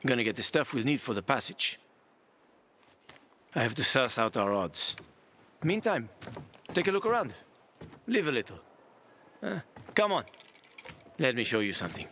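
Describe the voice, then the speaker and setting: A man's voice: mid-range voice, big pitch swings, moderate pace, pauses often, bright, forceful tone, slight background noise. A man acting a roguish, easygoing survivor and guide in a video game, breezy and persuasive with a wry edge.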